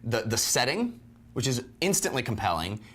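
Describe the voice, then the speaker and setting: A man's voice: mid-range voice, big pitch swings, normal speed, a bit bright. A man co-hosting a film review show in casual conversation, speaking emphatically and repeating phrases for effect.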